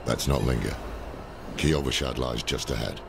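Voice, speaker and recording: An actor playing a gruff, weary, cynical older man in a dramatic video game. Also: fairly even intonation, noisy background. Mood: grim. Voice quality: deep, gravelly. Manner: slow, grave, world-weary. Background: game music.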